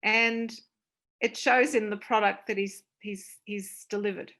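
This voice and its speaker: A woman's voice: medium pitch, fairly even intonation, measured pace, pushed and bright. An older woman from Australia, answering questions as a guest author in an online panel.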